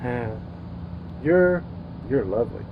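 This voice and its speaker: A man's voice: medium pitch, animated, slow pace, vocal fry, phone-quality sound, background noise. An actor playing an earnest, jaded young ad man who narrates his misadventures in old-time radio drama style. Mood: tender, earnest.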